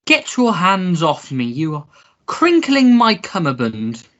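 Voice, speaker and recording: A woman playing an indignant gentleman wrongly arrested, squeaky and girlish, in a melodramatic radio play. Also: huge pitch swings, slow pace, exaggerated voice. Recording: dull lo-fi recording.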